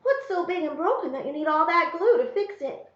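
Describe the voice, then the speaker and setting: A woman's voice: very high voice, big pitch swings, fairly quick, a bit bright, voice pushed up, exaggerated voice. A woman reading the part of a curious, blunt, cheeky little boy in a storybook. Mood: curious, astonished. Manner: inquisitive, blunt. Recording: roomy, slightly dull sound.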